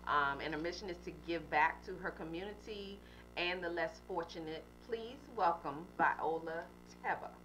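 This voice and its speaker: A woman's voice: fairly low pitch, normal speed, fairly bright tone, slight background noise, low-quality recording. Chatty woman hosting talk radio. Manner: chatty, upbeat, filler-heavy. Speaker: radio show host.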